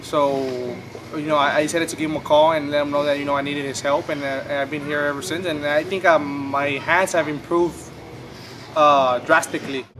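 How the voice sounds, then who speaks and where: A man's voice: on the high side, little pitch movement, a little fast, noisy background. A man in his thirties with a Mexican-American accent, talking in a documentary interview about his fighting career in a rambling, repetitive way with many fillers. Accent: Mexican-American English. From US-Mexico border region.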